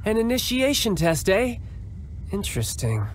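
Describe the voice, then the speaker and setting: An actor playing a suave, smug young fighter in a video game cutscene, talking in a smooth, mocking, politely condescending way. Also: male voice, sits high, big pitch swings, moderate pace, a little hiss or hum.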